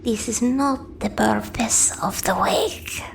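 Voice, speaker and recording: A man voicing a stern, suspicious ancient monster in a fantasy audio drama, in a slow, gravelly whisper. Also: high voice, pitched above the performer's own voice, exaggerated voice.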